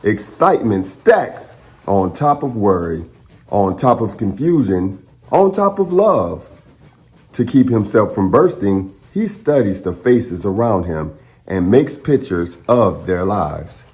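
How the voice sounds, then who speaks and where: A man with a slightly low voice hosts a read-aloud for children. His delivery is unhurried and highly animated in intonation. He addresses young listeners directly, expressive and encouraging throughout. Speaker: children's storytime host.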